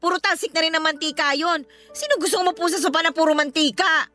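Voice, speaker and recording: A woman's voice: very high-pitched, quick pace, bright, forceful tone. An actress with a Filipino accent playing a bitter, resentful woman who needles her housemate with sarcasm in a radio drama. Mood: irritated. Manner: sarcastic, confrontational. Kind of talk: Tagalog radio drama acting. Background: background music.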